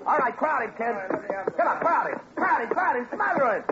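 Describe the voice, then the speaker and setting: A man's voice: extremely high pitch, lively intonation, brisk, loud music behind the voice. An actor playing a gabby, motherly boxing gym boss with colorful, wisecracking talk in an old radio drama. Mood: excited urging. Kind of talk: 1950s radio crime drama acting. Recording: dull old radio recording, crowd behind.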